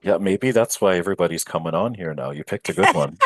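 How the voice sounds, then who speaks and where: A man's voice: low-pitched, lively intonation, fast, dry recording. A man hosting an online prayer group chat on a video call, easygoing and welcoming people as they join.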